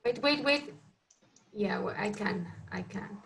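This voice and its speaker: A woman's voice: mid-range voice, takes it slowly, gravelly. A woman casually moderating an online reading group as its host, speaking over a video call. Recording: noisy video call with clicks.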